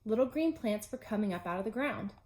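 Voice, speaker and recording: A woman's voice: medium pitch, expressive, fairly quick, a little mellow. A woman teacher narrating a children's storybook aloud, with simple phrasing aimed at young children. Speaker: pre-K teacher reading aloud.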